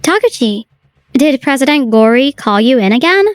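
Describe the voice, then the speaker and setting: A woman's voice: sits high, lively intonation, normal speed, dry recording, faint music behind. A woman voicing a worried young office coworker in a manga dub, earnest and anxious.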